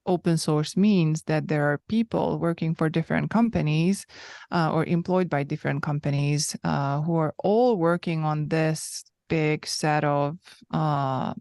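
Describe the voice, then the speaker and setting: A woman in her thirties with a low, smooth voice, recounting her story as an interview guest. She speaks slowly and reflectively, pausing often. The sound is dry and close. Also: a little mellow, dry recording. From Hungary. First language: Hungarian. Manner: thoughtful and reflective, with frequent pauses.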